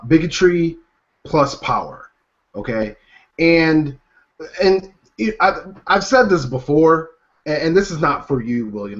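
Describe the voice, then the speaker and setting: A man talks bluntly and profanely on a casual podcast, his voice sitting a little high for a man. He speaks with animated emphasis. The audio is dull and lo-fi. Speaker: podcast host. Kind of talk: casual chat.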